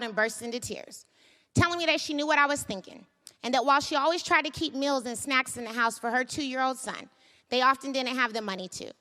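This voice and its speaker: A woman in her thirties with a high-pitched voice, telling her personal story in an earnest, conversational way with frequent fillers. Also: pushed and bright. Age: 30s. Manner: earnest, conversational, many "you know" and "like" fillers. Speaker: startup founder.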